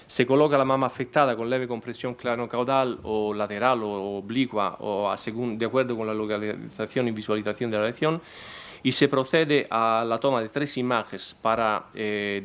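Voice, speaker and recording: A man whose first language is not Spanish, presenting a technical talk at a conference in a halting way, full of fillers and restarts. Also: mid-range voice, clear, resonant tone.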